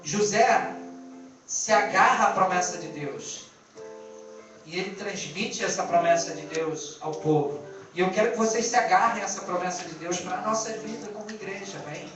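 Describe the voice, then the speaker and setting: High-voiced Brazilian-accented male preacher, echoey recording. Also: moderate pace, a bit bright, muffled recording. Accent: Brazilian Portuguese. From born in Angola, lived in Brazil. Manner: colloquial, exhortative, rhetorical questions and repetition. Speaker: pastor preaching to a church. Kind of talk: informal sermon. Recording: echoey distant band-limited live stream, music behind.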